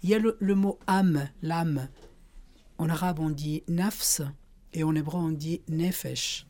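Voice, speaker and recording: A woman's voice: deep voice, measured pace, warm tone. A woman Arabic teacher talking reflectively about her experience and saying single words. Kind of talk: reflective personal account and single-word recitation.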